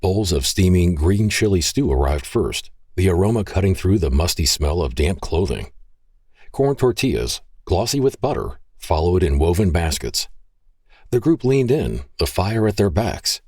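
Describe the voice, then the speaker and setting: Deep husky guy narrating an audio drama, dry close mic. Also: big pitch swings, moderate pace, a little mellow, dry recording.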